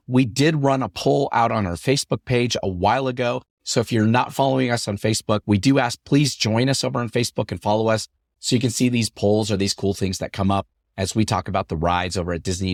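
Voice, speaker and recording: A middle-aged man hosting his podcast in an upbeat, chatty way, his voice deepened by a lingering cough after an illness. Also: no echo, studio quality. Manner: upbeat, chatty, repetitive phrasing. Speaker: podcast host. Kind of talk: solo podcast talk.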